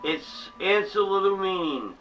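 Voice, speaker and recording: A man giving a theatrical, dramatic reading of a poem, dropping to hushed tones and sometimes stumbling and restarting. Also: high voice, animated, unhurried. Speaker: reader of a poem. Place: small home museum room. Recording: distant mic with eerie music behind.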